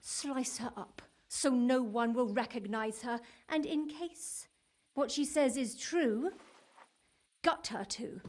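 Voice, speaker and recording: A woman's voice: on the high side, slow, darkish tone. A woman acting a haughty, vicious older mother in a video game, scornful and exclamatory. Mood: bitter, ruthless.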